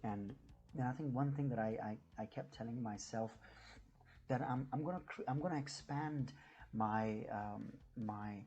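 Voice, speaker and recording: A man from New Delhi with an Indian accent and Hindi as his mother tongue, chatting casually and unscripted to viewers, haltingly and often repeating his words. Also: mid-range voice, mellow, highs cut off, soft background music. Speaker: YouTube vlogger.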